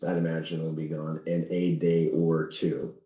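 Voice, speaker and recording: Low, dark-voiced man with a head cold. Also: completely flat delivery, echoey room, highs cut off. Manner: folksy and earnest, halting at times. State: under the weather with a head cold. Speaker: livestream host. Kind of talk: casual livestream commentary.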